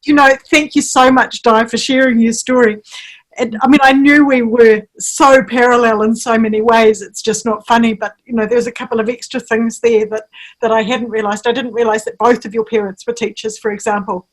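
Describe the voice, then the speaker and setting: A woman's voice: on the high side, fairly even intonation, a little fast, rough recording. A woman hosting a networking meetup. She thanks the guest speaker and reflects on the talk in an affirming way, using plenty of filler phrases. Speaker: host.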